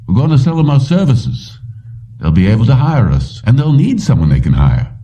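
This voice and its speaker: A man's voice: mid-range voice, wildly swooping pitch, normal speed, warm tone, pitched above the performer's own voice. A man reading an audiobook, voicing an earnest, resolute older father who owns a company. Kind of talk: audiobook character dialogue. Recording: slightly distant, dull lo-fi recording.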